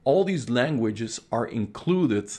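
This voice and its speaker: A man in his thirties whose first language is Dutch, giving a casual, enthusiastic screen-share software review in English with plenty of uh and um fillers. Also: medium pitch, animated, takes it slowly, husky.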